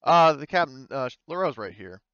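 A man casually narrating an online tabletop roleplaying game as game master, in a halting, informal way. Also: fairly high pitch, sing-song, a bit bright, clear, resonant tone, dry recording, highs cut off.

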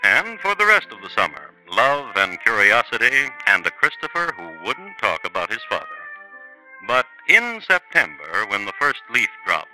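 A man hosting and narrating a 1950s radio crime drama in a measured, dramatic way. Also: on the low side, huge pitch swings, bright, forceful tone, low-quality recording. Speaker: narrator and host. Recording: old radio broadcast with music.

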